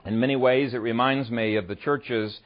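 A man from Ethiopia preaching a sermon, conversational and easygoing, often pausing with "uh" as he tells a story and explains scripture. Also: mid-range voice, steady tone, lo-fi sound. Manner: conversational storyteller, frequent "uh" fillers. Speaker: pastor. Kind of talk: sermon with a humorous opening story.

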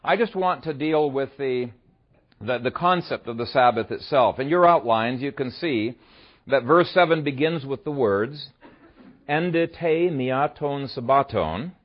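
Pastor from Ethiopia telling a story, lo-fi. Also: male voice, mid-range voice, expressive, a little slow. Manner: conversational storyteller, frequent "uh" fillers. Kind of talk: sermon with a humorous opening story.

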